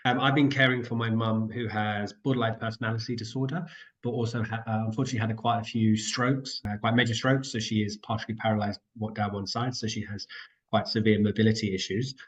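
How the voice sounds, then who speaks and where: A man's voice: on the low side, little pitch movement, fast talker, poor audio. A man with a cold and a nasal voice, chatting casually as a guest on an online panel, rambling and apologising as he goes. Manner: rambling, self-interrupting, apologetic. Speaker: guest carer and co-founder. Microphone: video call.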